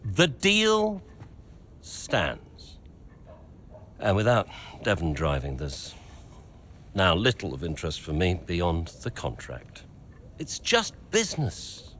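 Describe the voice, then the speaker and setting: A man's voice: low-pitched, huge pitch swings, slow pace, pauses often, a bit bright, a little hiss or hum, exaggerated voice. An actor playing a cold, wealthy investor and father who speaks in a curt, clipped way. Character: cold rich male investor, older father.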